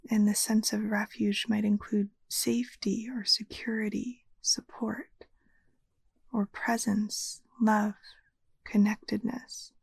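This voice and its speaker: A woman guiding a meditation as a yoga nidra teacher, speaking in a soft, soothing, breathy near-whisper. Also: medium pitch, no room sound. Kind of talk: guided meditation narration.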